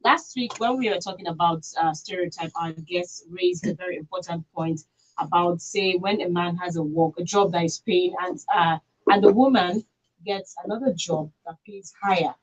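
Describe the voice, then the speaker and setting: A woman's voice: lowish voice, normal speed, highs cut off. A Nigerian woman hosting a live radio call-in show from a studio.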